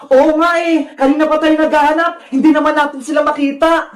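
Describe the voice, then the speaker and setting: A woman's voice: sits high, steady tone, brisk, lightly acted. A woman voicing a brave, eager young schoolchild in a children's cartoon story, bold and childlike. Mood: searching, urgent. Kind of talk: children's animated story acting.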